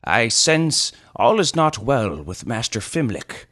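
A man's voice: medium pitch, sing-song, slow, a bit bright, no echo, studio quality, pitched above the performer's own voice. A man voicing a wise old leader who speaks formally and earnestly. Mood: solemn.

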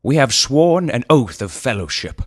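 A man's voice: medium pitch, sing-song, normal speed, no room sound, studio quality, voice pushed up. A man voicing a wise old fellowship leader, lordly, formal and earnest, in a comic fantasy story. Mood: grave, stern. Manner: formal, earnest, mock-noble.

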